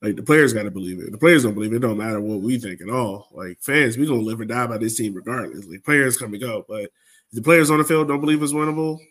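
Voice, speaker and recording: A man's voice: mid-range voice, fast talker, fairly bright tone. A man with an American accent hosting a sports podcast, talking informally and colloquially as a fan. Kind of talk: casual soccer fan discussion.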